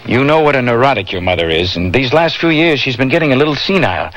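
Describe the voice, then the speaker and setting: An actor playing a concerned but dismissive husband in a radio drama, pleading and a little patronizing. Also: male voice, mid-range voice, animated, fast, noisy background, natural voice. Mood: exasperated, dismissive.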